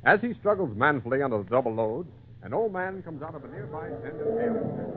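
A man's voice: on the high side, sing-song, fairly quick, very noisy. A man announcing and narrating in a scripted old-time radio style. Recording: tinny old radio, music behind.

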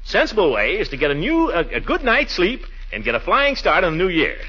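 Blustering middle-aged bachelor, swooping voice. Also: male voice, high-pitched, huge pitch swings, bright, forceful tone, breathy edge, full character voice. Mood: hearty. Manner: blustery, theatrical, drawn-out exclamations. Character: blustering, excuse-making middle-aged bachelor commissioner with big airs. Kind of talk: 1940s radio comedy acting. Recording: muffled, noisy 1940s radio recording.

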